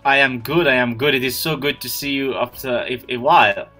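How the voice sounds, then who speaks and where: A man's voice: mid-range voice, fairly even intonation, a little fast, bright, forceful tone, soft background music. A man hosting an online business webinar over a video call, greeting attendees by name in a friendly way. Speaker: webinar host.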